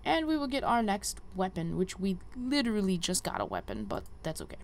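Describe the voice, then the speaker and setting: Woman doing chatty game walkthrough, thinks out loud, bit of noise and ambience. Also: mid-range voice, wildly swooping pitch, brisk. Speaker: gaming video host. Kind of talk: casual game walkthrough commentary.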